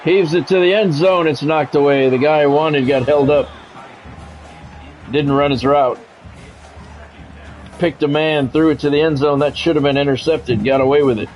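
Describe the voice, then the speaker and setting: Male game streamer, lightly higher voice, polished clipped play-by-play with casual asides, music in the background. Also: steady tone, normal speed, pauses often, darkish tone, low-quality recording. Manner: practised radio-style play-by-play, clipped phrases. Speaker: streamer doing play-by-play of a football video game. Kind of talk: sports commentary mixed with casual chat.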